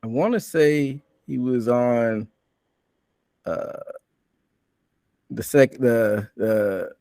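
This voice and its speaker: A man's voice: lowish voice, creaky, warm tone, no echo. A man hosting a sports podcast, talking casually through a recap and putting questions out for discussion. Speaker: podcast host.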